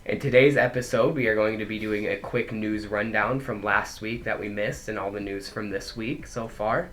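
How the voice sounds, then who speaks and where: A young man with a low voice co-hosts a casual sports podcast, chatting his way through one news item after another. His voice is congested from a head cold, and there is a faint hiss behind him. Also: fairly even intonation, normal speed, a bit bright. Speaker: podcast co-host.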